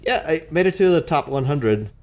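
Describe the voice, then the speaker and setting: A man's voice: on the high side, animated, normal speed, highs cut off, faint music behind. A man giving casual, conversational commentary over video game play. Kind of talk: casual gameplay commentary chat.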